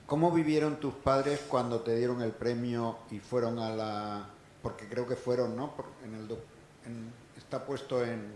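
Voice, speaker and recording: A man asking the guest a personal question, halting and searching for words. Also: mid-range voice, steady tone, a little mellow, slight background noise. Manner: slow, hesitant questioning. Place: lecture hall. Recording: echoey hall, voice from far off.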